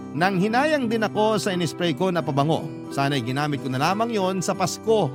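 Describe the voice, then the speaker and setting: A man narrating a dramatic first-person love story in Tagalog as a radio storyteller. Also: a little high. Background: guitar music.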